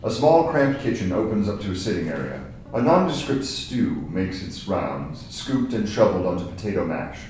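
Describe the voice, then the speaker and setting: A man's voice: deep voice, sing-song, moderate pace, few pauses, darkish tone. A man reading screenplay stage directions as scripted narration at a table read. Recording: very echoey room, distant mic, faint noise.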